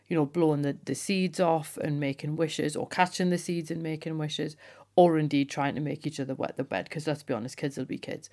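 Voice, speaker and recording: A woman hosting a folklore podcast, talking in a chatty, enthusiastic way, her voice slightly hoarse from a cold. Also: sits low, a little mellow. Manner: chatty, enthusiastic, laughs often. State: has a cold. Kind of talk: casual solo podcast chat.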